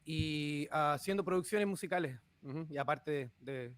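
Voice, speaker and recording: A man from northern Chile with a Chilean accent, a musician in his thirties, talking about his life in a relaxed way with many pauses and filler sounds. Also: a little high, no room sound. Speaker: musician, guest. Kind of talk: conversational interview.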